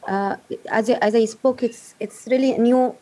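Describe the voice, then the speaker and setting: A woman from Yemen speaks English in a remote interview. Her voice sits fairly high, and she pauses and restarts as she searches for words. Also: steady tone, mellow. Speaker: guest, refugee advocate.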